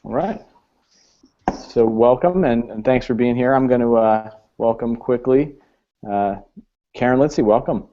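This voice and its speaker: A man's voice: mid-range voice, a little slow. A man hosting a live online panel discussion, talking loosely with frequent fillers. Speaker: host. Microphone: video call. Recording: slightly muffled video-call audio.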